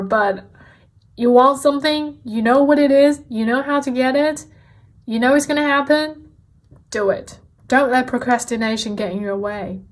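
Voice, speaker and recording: A woman with a fairly high voice reads tarot cards at an unhurried pace. She is gentle and encouraging, often repeats her key points and adds soft laughs. English is her second language. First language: not English. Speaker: tarot reader. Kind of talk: tarot card reading.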